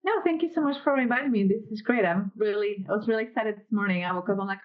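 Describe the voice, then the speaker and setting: A woman in her thirties from Mexico, a podcast guest telling her story in a quick, friendly way. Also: medium pitch, dull audio. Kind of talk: casual interview chat.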